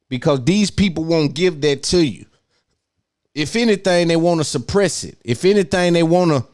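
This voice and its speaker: A man's voice: fairly high pitch, darkish tone, no echo, clean studio sound. A man hosting a podcast, giving an opinionated monologue and repeating phrases for emphasis. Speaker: podcast host.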